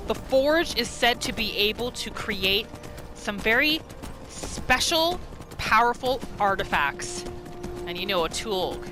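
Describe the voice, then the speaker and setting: A woman hosting a gaming roleplay series, telling the story in short phrases with dramatic pauses. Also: a little high. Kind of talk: storytelling gameplay narration.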